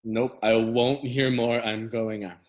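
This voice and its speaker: A man's voice: mid-range voice, level tone, lightly acted, poor audio. Man acting exasperated, rebellious young son. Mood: exasperated, bitter. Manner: exasperated, sarcastic, halting. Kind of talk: stage play reading.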